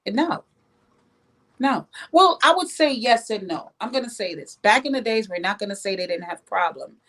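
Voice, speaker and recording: A woman's voice: medium pitch, animated, normal speed, fairly bright tone. A woman from Jamaica giving opinions in a podcast discussion, speaking emphatically and repeating phrases to drive them home. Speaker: podcast guest.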